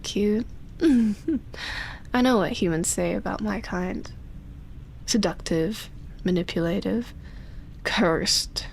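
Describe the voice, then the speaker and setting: An ancient vampire woman, played by a female voice actor, speaks very slowly and softly, often near a whisper. She is tender, lonely and seductive, pausing as if hesitant, in an intimate roleplay drama. Ambient noise sits clearly behind her voice. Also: mid-range voice. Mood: affectionate, teasing, then earnest, confiding. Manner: slow, hesitant, sighing delivery with long pauses.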